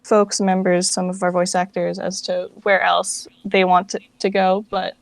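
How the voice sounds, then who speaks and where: A woman's voice: on the low side, level tone, measured pace, vocal fry, dry recording. A woman chatting casually as an interview guest, with informal, hesitant phrasing and plenty of "like". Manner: informal, hesitant, filler-heavy phrasing with frequent "like". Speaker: interview guest, founder of a fan dub project.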